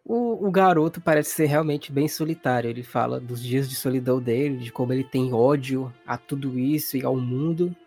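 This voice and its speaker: A man's voice: mid-range voice, normal speed, hardly any pauses, darkish tone. A man with a Brazilian accent narrating a tabletop horror game as game master in a casual, conversational way. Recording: music playing behind the voice.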